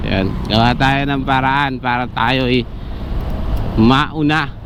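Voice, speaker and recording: A man's voice: mid-range voice, steady tone, takes it slowly. A Filipino man with a Filipino accent chats informally to viewers, narrating a casual vlog while riding. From Philippines. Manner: chatty, informal, addressing viewers. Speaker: motovlogger, host. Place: outdoors on a motorbike in city traffic. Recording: noisy outdoor ride, engine and traffic.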